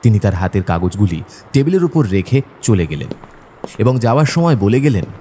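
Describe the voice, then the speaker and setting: An actor playing a thoughtful, observant detective's companion, telling the story in a measured, descriptive way for an audio drama. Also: male voice, lowish voice, sing-song, warm tone, a little hiss or hum. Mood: reflective. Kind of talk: scripted narration and audio drama acting.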